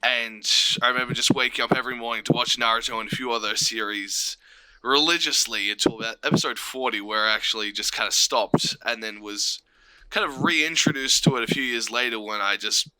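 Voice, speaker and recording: A man's voice: medium pitch, fairly quick, bright, forceful tone, clear, resonant tone, poor audio. A man from Australia, a voice actor, answering questions casually in an interview.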